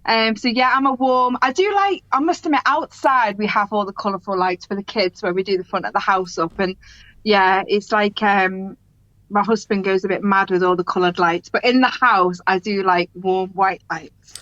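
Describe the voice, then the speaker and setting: A woman's voice: mid-range voice, steady tone, moderate pace, a bit bright, no echo, highs cut off. A middle-aged woman, chatting as a guest in a rambling, laughing way. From English Midlands. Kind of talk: casual conversation.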